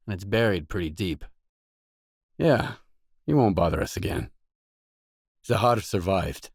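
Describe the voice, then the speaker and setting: An actor playing a wary, blunt mercenary stalker in a video game, with terse, dry, matter-of-fact delivery. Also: male voice, lowish voice, dry recording. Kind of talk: scripted game voice lines.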